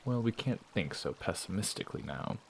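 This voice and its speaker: A man voicing a sly traveling performer who teases and probes with smooth talk in an audio drama. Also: on the low side, animated, a little fast, a little hiss or hum. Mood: teasing, prying. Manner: teasing, probing, smooth-talking. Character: sly prying male traveling performer, teasing.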